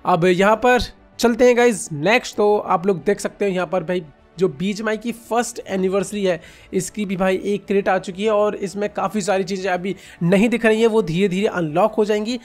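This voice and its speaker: A man with an Indian accent giving casual, informal reaction commentary as a gaming video host, chatting to viewers like friends. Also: high voice.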